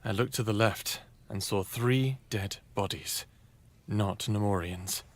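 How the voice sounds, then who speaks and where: A man's voice: fairly low pitch, takes it slowly. A man playing a wry, defiant human hero in his late thirties, sardonic in both dialogue and narration of an audio drama. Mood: dry, defiant.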